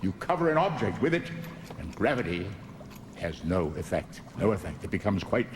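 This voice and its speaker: An actor playing an excitable, absent-minded scientist in a radio drama, dithering and repeating himself gleefully. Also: male voice, low voice, normal speed, noisy background. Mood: eager explaining. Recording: echoey hall reverb, music behind.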